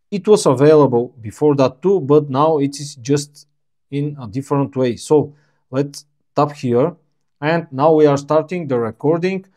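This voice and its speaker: A man whose first language is Bulgarian talks through a phone's settings at an easy pace. His voice is warm and low in brightness, his phrasing plain and a little halting, like a casual tech walkthrough. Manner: unhurried, plainspoken, slightly halting non-native phrasing. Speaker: tech reviewer.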